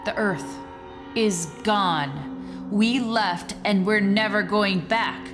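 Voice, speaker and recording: A woman's voice: medium pitch, very slow, music in the background. An actress playing a trapped, despairing woman scientist on a space mission, weary and bitter, in an audio drama. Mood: bitter, resigned. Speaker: actor in a musical audio drama.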